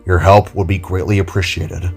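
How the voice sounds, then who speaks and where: Calm scarred big brother, low and measured, read by a man for an audio drama. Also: husky, soft background music. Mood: earnest. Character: scarred older brother, earnest and composed.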